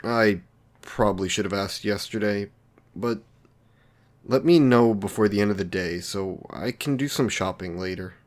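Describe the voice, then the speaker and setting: Lonely villain , male actor, somewhat deep voice. Considerate and socially awkward, apologetic delivery for close, intimate roleplay audio. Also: normal speed, lightly acted. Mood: eager, awkward. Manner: hesitant, apologetic, polite.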